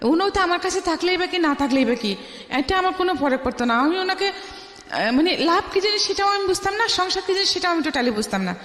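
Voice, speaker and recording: A woman's voice: extremely high pitch, expressive, quick pace, a bit bright. A middle-aged woman with a Bangladeshi accent tells her life story as a radio interview guest, emphatically, acting out remembered dialogue. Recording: echoey room with long reverb.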